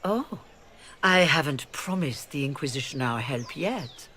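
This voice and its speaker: Casting: a composed, diplomatic mage leader, measured delivery, faint ambience behind. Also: female voice, low-pitched, animated, sharp tone, a little hiss or hum, heavily acted. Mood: calm, persuasive. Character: poised mature female mage leader.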